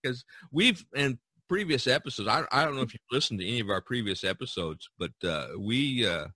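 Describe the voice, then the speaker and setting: Bright-voiced man hosting a chatty podcast. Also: mid-range voice, animated, brisk, breathy edge, no room sound. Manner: rambling, chatty. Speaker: podcast host. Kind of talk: casual chat among artists.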